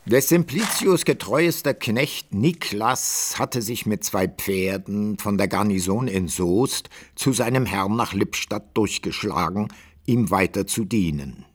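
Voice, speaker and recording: An actor playing an old-time author who narrates a radio drama in measured, dramatic and archaic phrasing. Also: male voice, on the low side, slightly rough. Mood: measured storytelling. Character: the author as measured, literary storyteller.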